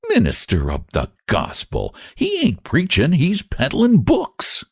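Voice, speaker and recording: A prickly, envious country spinster, as a man reading aloud puts her on, drawling out her barbs and snapping back. Her voice rides high and swings widely. Dry, close sound. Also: huge pitch swings, slow pace, vocal fry, husky, dry recording, exaggerated voice. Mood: sniping. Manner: drawling, snappish rural speech. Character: Lottie, sharp, jealous, sniping spinster woman.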